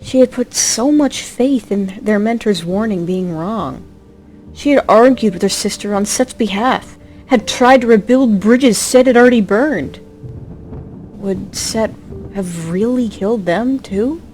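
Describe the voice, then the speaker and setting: Female narrator for audio drama, theatrical and emotive storytelling, mid-pitched voice, music underneath. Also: measured pace, darkish tone.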